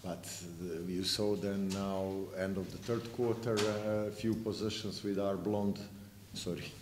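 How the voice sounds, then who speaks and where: Very deep flat-voiced coach. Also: male voice, measured pace. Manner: blunt, frustrated, self-correcting. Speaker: visiting basketball coach. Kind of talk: post-game press conference answers. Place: press room with sponsor backdrop. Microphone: table mic. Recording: echoey room, noisy background.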